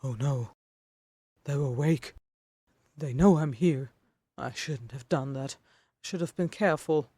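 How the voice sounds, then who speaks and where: A woman performs a lonely male supernatural being from a fan-fiction audio drama. The voice is very husky and breathy, slow and halting, haunted yet protective. It sounds close and dry. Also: rumbling low, dark tone, dry recording, heavily acted. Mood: panicked.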